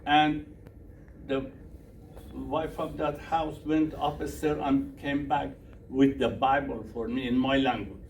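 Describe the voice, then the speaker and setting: A man's voice: mid-range voice, fairly even intonation, slow pace. A middle-aged man from Iran with a Persian accent, a minister speaking broken English to a group and putting questions to them. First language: Farsi. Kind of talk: workshop talk with audience questions. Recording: echoey room from a distance, faint noise.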